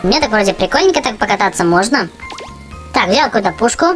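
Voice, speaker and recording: A woman hosting a gaming channel for children, giving chatty, excitable running commentary on her play. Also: mid-range voice, quick pace. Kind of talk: casual game commentary for children. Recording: game music behind the voice. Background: video game music.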